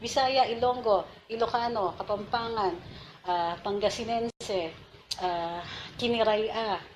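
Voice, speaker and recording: A woman's voice: mid-range voice, unhurried, poor audio. Filipino woman, online show host, chatty explainer full of "uh" and restarts, a slight hiss in the recording. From Philippines. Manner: explanatory, mixing Filipino and English, many fillers and restarts. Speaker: online show host reading viewer comments. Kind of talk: casual Taglish commentary.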